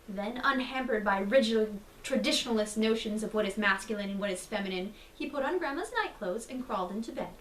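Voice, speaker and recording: A woman's voice: mid-range voice, a little fast, few pauses. A woman reading a bedtime story theatrically, with a mock-solemn, dramatic delivery. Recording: roomy, distant mic, faint noise.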